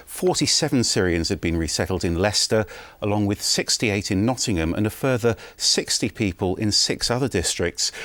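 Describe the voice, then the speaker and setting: A British man explaining a news story live in a studio, in a steady explanatory way. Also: fairly low pitch, no echo. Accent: British English. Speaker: correspondent.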